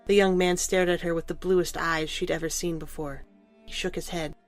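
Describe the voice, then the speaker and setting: A woman's voice: lowish voice, little pitch movement, moderate pace, soft background music. A woman reading scripted storybook narration as the narrator of an audio drama.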